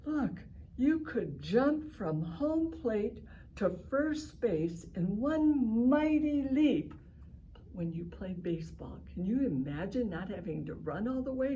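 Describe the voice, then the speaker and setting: Expressive woman reading aloud to children, unhurried. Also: a little high, slight background noise. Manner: expressive and animated, with exaggerated emphasis and exclamations; explains simply, addressing young listeners directly. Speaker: reading session leader for children. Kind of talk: picture-book read-aloud with asides to children.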